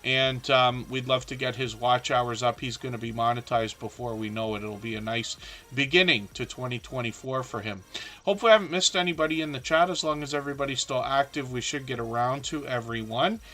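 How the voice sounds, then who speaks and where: Casting note: middle-aged man, livestream host, bright edgy tone, voice a bit scratchy and worn. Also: medium pitch, a little fast. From southern Ontario, Canada. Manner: chatty, encouraging, frequent ums and restarts. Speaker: live stream host. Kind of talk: casual live stream chat.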